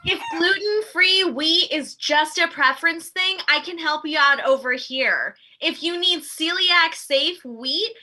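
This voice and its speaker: A woman acting a perky, helpful health-food shop clerk in a comedy table read, calling out in drawn-out announcements. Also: very high-pitched, bright, forceful tone, heavily acted. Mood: helpful, projecting.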